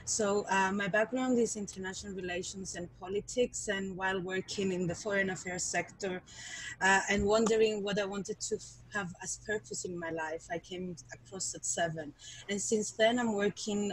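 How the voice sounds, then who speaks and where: A woman's voice: mid-range voice, slightly rough, rough recording. A woman in her thirties introducing herself on a video call, speaking carefully and in a businesslike way into a headset. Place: plain indoor room.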